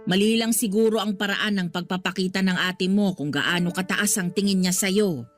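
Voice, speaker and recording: An actress playing a patient but firm middle-aged mother in a radio drama, soothing and gently scolding. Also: mid-range voice, moderate pace, faint music behind, lightly acted. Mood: reassuring. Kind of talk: Tagalog radio drama acting.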